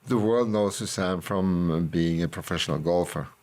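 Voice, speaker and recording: An older man from Norway speaking slowly and gently about his daughter, with non-native phrasing. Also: sits low. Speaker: father. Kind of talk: documentary interview.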